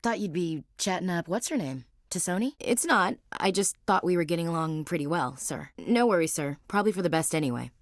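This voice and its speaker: A woman's voice: lowish voice, lively intonation, brisk, few pauses, no echo. A woman with an American accent playing a young, dutiful, frank marine soldier with dry humour in a video game. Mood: embarrassed, teasing. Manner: crisp military address, frank, dry humour. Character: blunt, dutiful young female marine soldier, guarded and wry.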